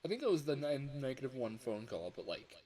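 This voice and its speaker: A man's voice: mid-range voice, very animated, a little fast, mellow. A tired man chatting casually on a livestream, grumbling and swearing at himself as he records voice lines. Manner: grumbling, self-critical, sweary. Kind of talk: casual livestream chat, self-commentary.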